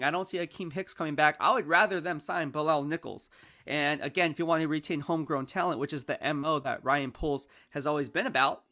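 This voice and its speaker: A man hosting his own football analysis show, talking casually and energetically, often checking in with "right?", while losing his voice. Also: on the high side. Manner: energetic, conversational, peppered with "right?" and "all right?". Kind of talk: casual sports commentary monologue.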